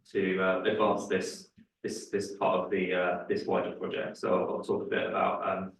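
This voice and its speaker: A young man delivers a seminar talk in a low, flat voice, stopping often on fillers and restarts. His voice is a little worn, as if he is losing it. He sounds far from the mic in a very echoey room. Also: a little hiss or hum. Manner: hesitant, many fillers and restarts. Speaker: guest speaker. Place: lecture room.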